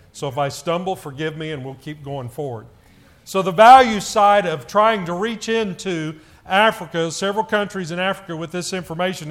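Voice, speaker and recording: A middle-aged man, a church elder, speaking loudly to the congregation and stumbling with frequent fillers. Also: on the high side. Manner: loud voice, halting with many fillers.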